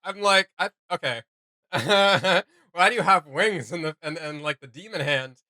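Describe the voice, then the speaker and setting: Young guy doing reaction chat, kinda high bright voice, close dry sound, stumbles for words. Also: no room sound. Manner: halting, informal, searching for words. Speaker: reaction video co-host. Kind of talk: casual excited reaction chat.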